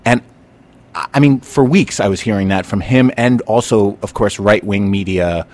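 A man hosting a podcast, talking in an animated, rambling way and often restarting his sentences as he thinks aloud. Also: fairly low pitch, moderate pace. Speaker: podcast host. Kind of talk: casual conversational chat.

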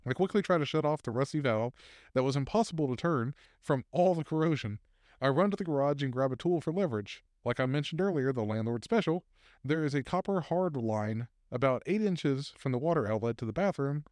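A man's voice: medium pitch, animated, a little fast, a little mellow, no echo, studio quality. A man co-hosting a casual podcast, reading posted stories aloud with fillers and laughs.

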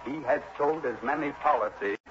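An actor playing an earnest, fretful insurance executive in a 1960s radio drama, wordy and hesitant, on a muffled, noisy old recording. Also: male voice, fairly high pitch, a little fast, fairly bright tone, close to an everyday voice. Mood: explaining, proud. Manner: hesitant, wordy, emphatic repetition.

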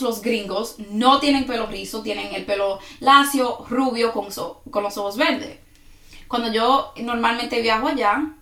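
A woman with a Dominican accent talks casually to her listeners as a podcast host. Her voice sits a little high, and she laughs often from nerves. The room adds a clear echo to her voice. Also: fairly bright tone. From born in New York, raised in the Dominican Republic. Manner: nervous, laughs often while talking. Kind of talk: casual personal monologue.

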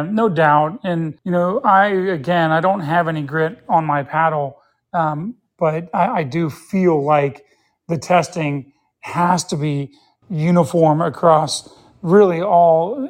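A man's voice: a little high, slow. Earnest man in his fifties, thinking aloud. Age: 50s. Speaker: interview guest, pickleball player. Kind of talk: casual interview chat.

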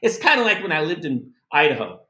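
Man, middle-aged, from the United States, casual lesson, animated, high voice, echoey room. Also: brisk. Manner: animated and chatty, with asides and laughs. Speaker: language teacher.

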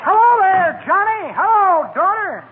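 A very high-voiced, folksy old codger, teasing and cackling his way through radio comedy. He draws his words out slowly in a wheezy, rustic way. The old recording is thin and noisy. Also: animated, phone-quality sound, a loud crowd. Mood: jovial, teasing. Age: older. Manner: folksy drawn-out delivery with rustic grammar. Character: cackling old-timer man, folksy, teasing joke-teller. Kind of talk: 1950s radio comedy acting.

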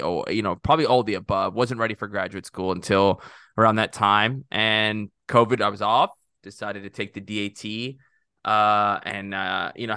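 A man's voice: fairly low pitch, bright, forceful tone, smooth, no echo, clean studio sound. A young man from Texas co-hosting a podcast, chatting casually in rambling, self-interrupting talk with jokes. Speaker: podcast co-host.

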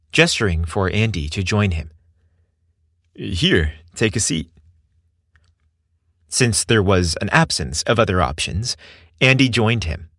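A man's voice: on the low side, fairly bright tone, no room sound, clean studio sound. A man reading a scripted story as its narrator.

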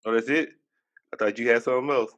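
A man asks questions in an easygoing, slangy manner, casually interviewing a guest. His voice is at a middling pitch for a man. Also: fairly even intonation, quick pace, a bit bright, lo-fi sound. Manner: laid-back, slangy, conversational.

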